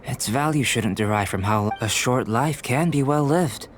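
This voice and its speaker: A man playing a calm, scholarly, enigmatic young alchemist in a video game, speaking in a measured, formal way. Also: medium pitch, slight background noise, lightly acted. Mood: reflective. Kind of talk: video game character acting.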